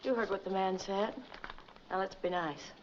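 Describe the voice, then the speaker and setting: A woman's voice: medium pitch, normal speed, a little hiss or hum, natural voice. Woman playing a slangy gangster's moll, muffled film sound. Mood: jittery, brisk. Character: greedy, nervous female gangster's moll. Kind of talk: 1940s crime film acting. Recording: dull 1940s film soundtrack.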